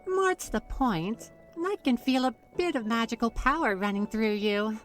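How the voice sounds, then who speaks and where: A woman's voice: on the high side, moderate pace, distant mic, faint music behind, lightly acted. A woman playing a playful, proud young witch who talks in a teasing, theatrical way in a fantasy audio drama. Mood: teasing, intrigued. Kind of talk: fantasy audio roleplay acting.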